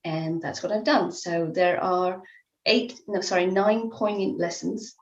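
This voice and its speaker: A woman's voice: fairly low pitch, measured pace. A middle-aged woman from England, answering interview questions in a reflective, conversational way, often restarting her sentences. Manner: reflective, with frequent restarts and soft laughs. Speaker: author and life coach guest. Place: at home in Spain, remote call. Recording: echoey room, slightly dull line.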